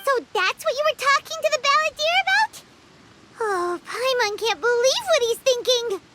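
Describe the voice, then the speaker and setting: Squeaky, very high fairy companion. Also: female voice, sing-song, moderate pace, background noise. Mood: curious. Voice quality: squeaky, childlike. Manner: refers to self in third person, exclaiming. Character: tiny childlike floating fairy companion, excitable and blunt.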